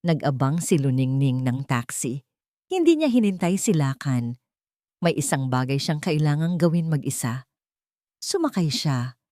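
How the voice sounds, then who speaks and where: A woman narrating a dramatic scripted story in a measured, solemn, deliberate manner. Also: sits low, expressive, warm tone, dry recording, studio quality.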